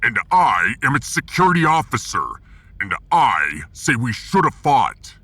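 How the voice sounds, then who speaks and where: A man playing a bitter, combative starship security officer in a sci-fi audio drama, with a husky, forceful voice. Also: mid-range voice, very animated, measured pace, no room sound, exaggerated voice. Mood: bitter, defiant.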